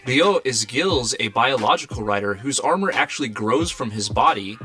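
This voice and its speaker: A man narrating a scripted explainer video in a brisk, informative way. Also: mid-range voice, expressive, sharp tone, faint music behind.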